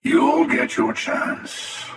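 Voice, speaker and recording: An actor playing a menacing, cynical spacefaring hunter in a video game, with a taunting, coolly superior manner and a processed helmet effect. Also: male voice, extremely slow, a bit bright. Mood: cynical, ominous. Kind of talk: video game character dialogue. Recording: heavily reverberant, distant, processed voice.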